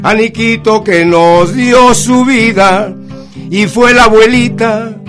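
A man, a singer-songwriter guest singing a heartfelt ballad in a slow, sincere way. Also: high-pitched, a bit bright. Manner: slow, heartfelt sung delivery. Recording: guitar accompaniment behind voice.

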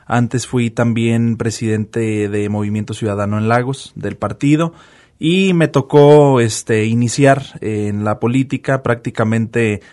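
A Mexican man in his thirties answers interview questions in a radio studio booth. He talks quickly and fills pauses with little hesitations, in a voice of ordinary pitch. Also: steady tone, darkish tone. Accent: Mexican Spanish. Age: 30s. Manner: quick, with frequent fillers. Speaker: political candidate guest.

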